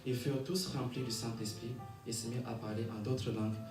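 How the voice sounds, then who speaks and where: A man's voice: medium pitch, level tone. A man preaching a sermon and reading scripture, repeating phrases in a rhythmic way and punctuating them with amens. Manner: halting when reading aloud, repeats and rephrases phrases; rhythmic repetition, calls for amens. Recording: echoey room, distant mic, music behind.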